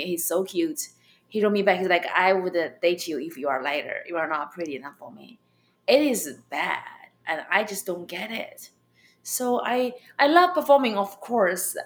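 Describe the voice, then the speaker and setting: A young adult woman from China with a Chinese accent, a comedian telling her story as an interview guest, halting with many fillers and restarts. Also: fairly low pitch.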